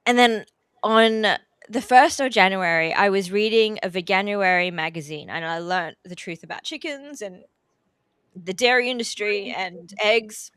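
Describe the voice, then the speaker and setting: A woman's voice: medium pitch, measured pace, a bit bright, clean tone, no room sound, studio quality. A tearful woman, talking casually as a podcast guest, with lots of fillers and laughter mixed in. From Australia. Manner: chatty, many fillers, laughs through emotion. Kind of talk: casual interview chat. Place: relative's house, indoors.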